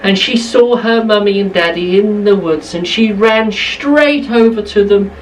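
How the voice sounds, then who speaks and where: A woman's voice: medium pitch, a little slow, recorded from a distance, slight background noise, poor audio. A woman telling a bedtime story to young children, expressively, repeating phrases for emphasis, slightly away from the mic.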